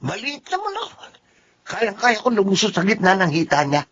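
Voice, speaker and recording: A man's voice: high-pitched, huge pitch swings, normal speed, a crowd in the background. A man acting a small, caring dwarf father, meek but stubborn, in a Filipino radio drama. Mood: placating, defensive. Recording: dull, muffled audio.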